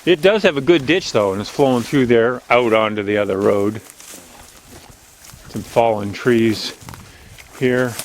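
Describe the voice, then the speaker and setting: A man with a strong, loud voice hosting a walking video outdoors on an overgrown logging road, chatty and rambling as he narrates, recorded on a handheld camera. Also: medium pitch, unhurried, long pauses, slight background noise. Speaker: channel host. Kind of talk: casual walking vlog narration. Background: faint outdoor nature ambience.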